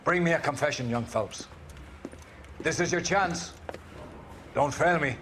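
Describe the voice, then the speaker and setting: An actor playing a stern, grandiloquent older police captain in a video game, preaching with paternal menace. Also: male voice, on the high side, fairly bright tone, a little hiss or hum. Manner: grandiloquent, preachy, deliberate phrasing. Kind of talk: video game cutscene acting.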